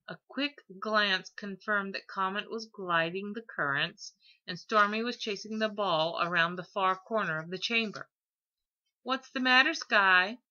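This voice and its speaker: A woman reading an audiobook story as expressive scripted narration, on a lo-fi recording. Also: mid-range voice. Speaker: audiobook reader.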